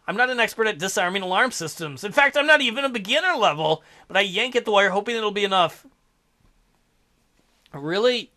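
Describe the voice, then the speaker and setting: A man's voice: sits high, pushed and bright. A man hosting a let's play, reading a visual novel aloud and tossing in sarcastic, joking asides.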